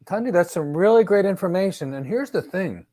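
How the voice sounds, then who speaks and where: A man's voice: high voice, lively intonation, brisk, warm tone, dry recording, clean studio sound. A man conducting a mock job interview, asking questions in an upbeat, encouraging way.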